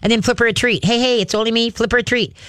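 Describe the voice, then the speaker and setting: A woman's voice: a little high, fairly even intonation, rapid-fire, a bit bright, no room sound, studio quality. Chatty upbeat woman radio host. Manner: chatty, upbeat, acts out cheerful baby-talk to dogs. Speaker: radio show host and dog trainer. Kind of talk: call-in radio advice.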